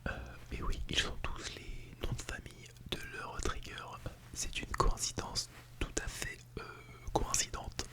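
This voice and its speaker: ASMR performer for a classroom role-play: fully whispered, breathy, gently instructive with light playfulness, close-miked in binaural. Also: a little slow. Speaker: ASMR artist role-playing as a teacher at an ASMR school. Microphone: close Blue Yeti microphone in binaural mode.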